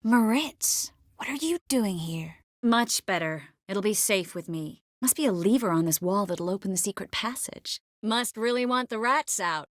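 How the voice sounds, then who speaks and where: Game sorceress, woman actor: poised, warm-hearted, composed, wry teasing edge; mid-pitched, very dry studio sound. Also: animated, moderate pace, few pauses, dry recording, natural voice. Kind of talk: scripted game character dialogue.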